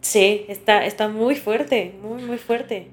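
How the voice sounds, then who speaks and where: A woman's voice: mid-range voice, slow, faint music behind. A woman co-hosting a podcast, chatting informally and reacting to her partner. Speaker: podcast co-host. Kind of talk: casual chat.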